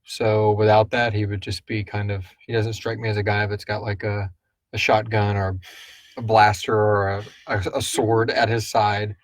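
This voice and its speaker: A man's voice: lowish voice, level tone, normal speed, no echo. A man hosting a casual live stream, chatting informally and chummily with viewers in a rambling way. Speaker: podcast host answering listener questions.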